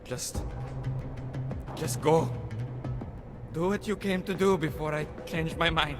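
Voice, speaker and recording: A man's voice: fairly high pitch, steady tone, slow, music in the background. A man voicing a frightened, guilt-ridden informant in a video game, stammering and agitated. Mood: anguished, defiant.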